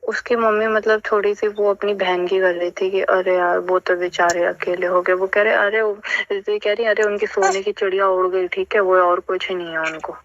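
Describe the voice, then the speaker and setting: A woman's voice: medium pitch, steady tone, normal speed, few pauses, dry recording. A young adult woman reasoning things out earnestly and a little hesitantly in a casual personal phone conversation. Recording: dull, lo-fi recorded phone call.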